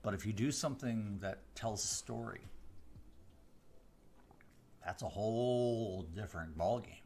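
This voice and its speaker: A man from the Chicago area, a mentalist hosting a live stream, chatting casually with viewers on a close studio mic, rambling with fillers and restarts but slowing down with deliberate pauses. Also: lowish voice, dark tone, a little hiss or hum. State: voice deeper than usual today. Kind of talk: casual chat answering viewer questions. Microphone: close studio microphone.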